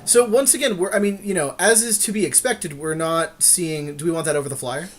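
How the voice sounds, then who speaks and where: A man's voice: fairly high pitch, fairly quick. A man co-hosting a podcast, casually commentating on a card game draft in an agreeable way, often repeating himself for emphasis. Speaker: podcast co-host.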